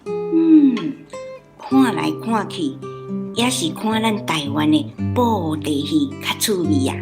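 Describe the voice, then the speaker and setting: A woman's voice: mid-range voice, animated, slow pace, music in the background. A woman playing a stubborn, playful old grandmother in a radio drama, homely and plain-spoken, with a Taiwanese accent. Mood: chatty, amused.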